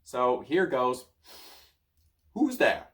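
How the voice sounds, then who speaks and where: A man's voice: mid-range voice, big pitch swings, extremely slow, fairly bright tone, exaggerated voice. A man voicing a grouchy, boastful old male wizard stuck in a bush, reading a storybook aloud. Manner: pompous, cranky, sneezy.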